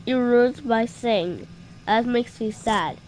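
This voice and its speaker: A woman improvising playful puppet show dialogue in an excitable, exclamatory way. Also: fairly high pitch, slow pace, slight background noise, rough recording.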